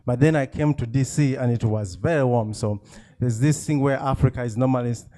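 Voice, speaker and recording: Mellow-voiced man, chatty author talk. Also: mid-range voice, fairly quick. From Uganda. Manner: chatty, self-deprecating, laughs often, many fillers. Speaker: author speaking at a book event. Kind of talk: casual talk to a live audience.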